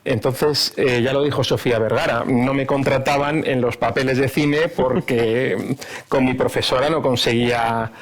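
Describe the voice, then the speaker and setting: A man on an online panel, speaking emphatically with frequent hesitant pauses. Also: mid-range voice, fairly even intonation. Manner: emphatic, many filler "eh" pauses. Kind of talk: panel discussion. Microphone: video call.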